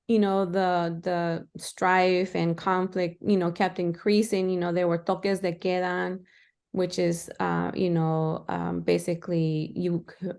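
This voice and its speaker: A woman in her fifties with a smooth, resonant voice speaks as a podcast guest. She talks in a reflective, conversational way, dropping in the odd Spanish phrase as she recalls growing up in El Salvador. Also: fairly low pitch. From Los Angeles, grew up in El Salvador. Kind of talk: live podcast interview, conversational.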